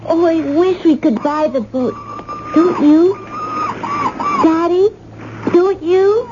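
A woman's voice: very high-pitched, takes it slowly. An actress playing an eager, curious little girl, a daughter in a 1970s radio drama. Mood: eager, pleading. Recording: muffled, noisy 1970s radio recording.

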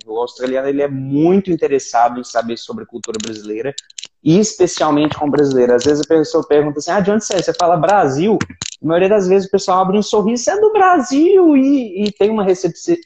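A Brazilian man talks in a relaxed panel chat. He halts often and repeats words while searching for what to say. His voice comes through a dull, lo-fi recording. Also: fairly high pitch, slight background noise. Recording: dull lo-fi sound.

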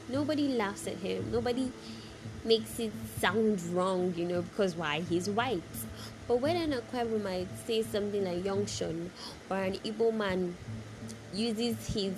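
A woman speaks in a Nigerian accent at an average female pitch. She delivers a casual personal monologue with firm emphasis, often repeating a phrase or posing a question to the listener. Music is clearly audible behind her voice. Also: rough recording. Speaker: vlogger giving personal opinion.

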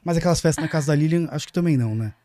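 A man's voice: on the high side, mellow. A man in his thirties with a Brazilian accent, hosting a casual podcast chat in a playful, teasing way. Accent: Brazilian Portuguese. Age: 30s. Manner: playful, teasing, quick-talking. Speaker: podcast host.

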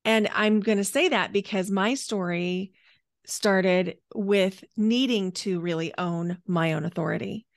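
A woman of about fifty talks as a guest on a podcast, in a mid-pitched voice. She tells personal stories in a conversational way, leaning on key words for emphasis. The sound is dry, with hardly any room in it. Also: unhurried, no echo. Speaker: podcast guest, energy healer and coach.

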